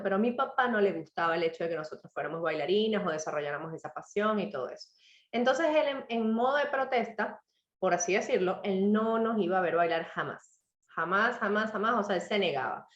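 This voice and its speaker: A woman with a slightly hoarse throat, telling a personal story to a live audience in a reflective, motivational way. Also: medium pitch, reverberant, muffled recording. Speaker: author and speaker.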